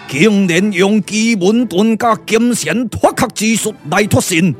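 A man's voice: high voice, music in the background. A man playing a proud, hot-tempered old immortal sage in a Taiwanese puppet radio drama, declaiming theatrically with a Taiwanese accent. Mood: baffled, angry. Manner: theatrical, boastful, drawn-out declamation.

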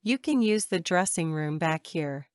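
A woman reading short English phrases for a language lesson, saying each one slowly and carefully twice. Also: on the low side, expressive, smooth, no room sound, clean studio sound. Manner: measured, careful enunciation. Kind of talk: slow repeated drill phrases.

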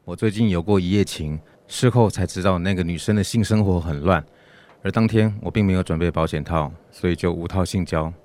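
A man's voice: deep voice, fairly quick, darkish tone, close to an everyday voice. A man voicing an anxious young man confessing in a radio drama reading of a listener's question. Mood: worried.

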